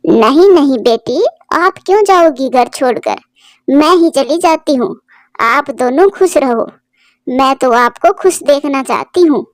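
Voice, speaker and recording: A woman's voice: high-pitched, lively intonation, a little slow, no room sound, studio quality. A woman voicing a sorrowful, tender elderly mother bird in a cartoon, with a plaintive, emotional delivery. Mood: resigned, sad.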